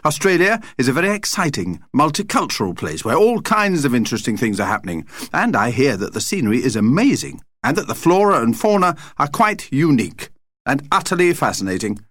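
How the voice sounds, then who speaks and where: A man's voice: medium pitch, very animated, fairly quick, a bit bright, no echo, clean studio sound, voice pushed up. A man voicing a harried father in an audiobook, politely explaining himself and trying to sound jovial. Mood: earnest.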